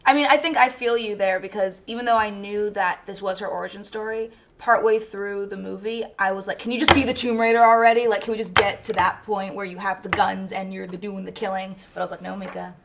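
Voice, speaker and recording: A woman's voice: medium pitch, fairly even intonation, brisk, few pauses, a bit bright, a little hiss or hum. A woman chatting as a panelist in a casual film review, conversational and often acting out her own reactions.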